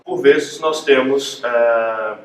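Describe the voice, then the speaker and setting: A man's voice: mid-range voice, drawn-out words. A man with a European Portuguese accent interviewing conversationally, repeating words as he forms his thoughts. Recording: echoey room, distant mic, faint noise.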